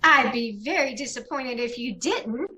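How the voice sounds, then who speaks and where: Woman acting a high, bright, flirtatious radio bride. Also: expressive, measured pace. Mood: coy. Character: anxious, flirtatious newlywed bride. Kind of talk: old-time radio comedy-mystery acting.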